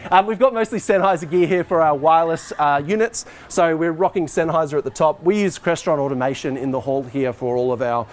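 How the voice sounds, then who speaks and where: Higher-pitched man giving an informal tech tour. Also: a little fast, hardly any pauses. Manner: explanatory, conversational, moving about while talking. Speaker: teacher, head of department giving a technical tour. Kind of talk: informal explanatory walkthrough of stage equipment. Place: school hall stage and backstage, indoors.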